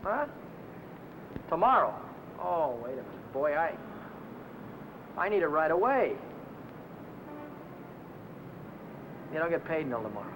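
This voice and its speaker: Restless young American mechanic, noisy film. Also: male voice, fairly high pitch, sing-song, moderate pace, pauses often. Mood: anxious, pleading. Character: restless young auto mechanic short of money. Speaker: film actor. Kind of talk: 1950s crime film dialogue. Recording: noisy old 1950 film soundtrack.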